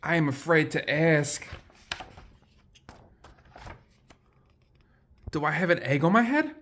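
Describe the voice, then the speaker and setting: Man voicing an excitable, anxious cartoon elephant. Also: fairly high pitch, brisk. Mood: worried. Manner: exclaiming, incredulous questioning.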